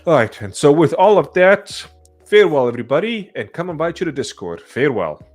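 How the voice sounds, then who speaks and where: A man's voice: fairly high pitch, very animated, brisk, soft background music. A man hosting a casual podcast, talking informally with his co-hosts. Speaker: podcast host.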